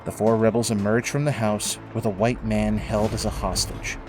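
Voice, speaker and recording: A man narrating a scripted dramatic story in a measured, solemn way, with music behind him. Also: fairly low pitch, level tone. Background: prominent music.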